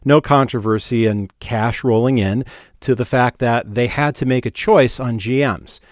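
A man speaks at a medium pitch with very little room sound. His delivery is casual podcast conversation, analytical and matter-of-fact, as he explains the context. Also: moderate pace, dry recording, studio quality. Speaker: podcast co-host. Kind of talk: casual analytical talk about radio business.

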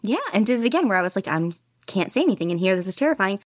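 A woman guest chatting candidly in an interview, rambling and self-deprecating with quick laughs. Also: mid-range voice, big pitch swings, no echo, studio quality. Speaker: podcast guest, podfic reader. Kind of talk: casual interview chat.

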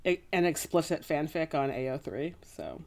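A woman's voice: sits low, animated, normal speed, darkish tone. A woman co-hosting a podcast in casual, playful, slangy chat, as if recapping a book for friends. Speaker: podcast co-host.

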